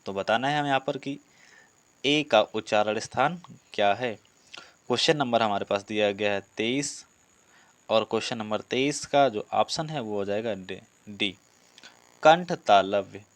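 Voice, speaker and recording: A man's voice: lowish voice, unhurried, dry recording. A man teaching an exam-prep class, reading out questions and explaining answers in an instructional, repetitive way, addressing students directly. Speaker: Hindi grammar teacher for police exam students.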